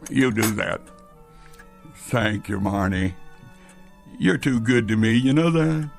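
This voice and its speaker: An actor playing a doting, stubborn old grandfather and clockmaker in a radio drama, with a gravelly voice and a halting, fussing manner. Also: on the low side, animated, soft background music, heavily acted. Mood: fussing, fond. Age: older. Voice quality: gravelly, creaky. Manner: halting, fussing, dismissive of worry.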